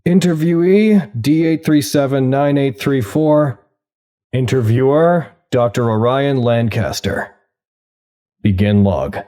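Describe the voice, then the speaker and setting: A man's voice: medium pitch, slow, dark tone, lightly acted. An actor in an audio drama playing a wry male researcher. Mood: formal, clipped.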